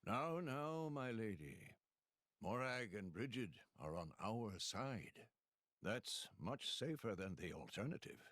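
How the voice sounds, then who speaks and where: A man playing a calm, loyal gentleman companion in a video game, courtly and gently placating. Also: fairly low pitch, slow pace, dry recording, clean studio sound, full character voice. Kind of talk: video game cutscene acting.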